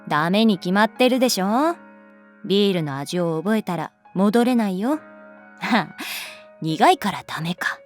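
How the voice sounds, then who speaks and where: Woman as a laid-back, teasing teacher, mid-pitched. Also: lively intonation, a little slow, soft background music, lightly acted. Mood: playful, teasing. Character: cool, teasing, caring adult female teacher. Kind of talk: Japanese voice drama acting, conversational.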